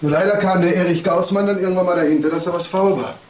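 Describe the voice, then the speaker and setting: An actor playing a shrewd, composed male detective with a dry, deliberate manner in a crime audio drama. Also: high-pitched, steady tone. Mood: confronting, composed. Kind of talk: naturalistic German radio drama acting.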